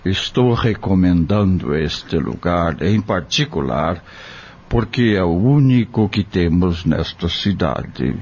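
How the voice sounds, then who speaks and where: A man playing a kind, devout country doctor in an audio drama, speaking solemnly and gently, like a fatherly preacher. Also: low-pitched, lively intonation, slow, husky, dull audio, heavily acted.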